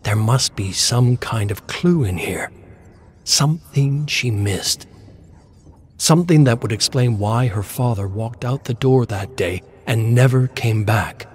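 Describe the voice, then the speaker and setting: Male narrator, lowish pitch, very unhurried, dramatic scripted storytelling, deliberate pauses. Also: a little hiss or hum. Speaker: narrator reading game lore.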